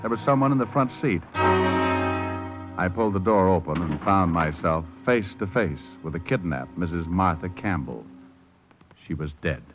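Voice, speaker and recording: Low-voiced, hard-boiled radio private eye. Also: male voice, animated, unhurried. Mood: grim, wry. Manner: sardonic, clipped tough-guy wisecracks. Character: wry, hard-boiled male private detective narrating his case. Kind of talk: 1940s-50s radio detective drama acting. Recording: muffled old radio recording, music behind.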